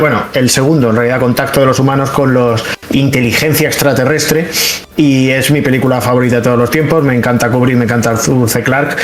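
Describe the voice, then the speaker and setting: Chatty Spanish man in an echoey room. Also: medium pitch. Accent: Spain. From Spain. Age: 40s. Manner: colloquial, chatty. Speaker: interview guest, video game journalist. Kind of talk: casual interview, anecdotal chat. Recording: roomy, echoing sound.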